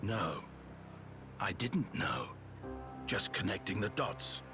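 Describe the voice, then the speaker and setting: Weary veteran guardsman, slow and expressive. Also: sits low, over music. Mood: guarded. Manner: deliberate, terse, formal. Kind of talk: video game cutscene acting.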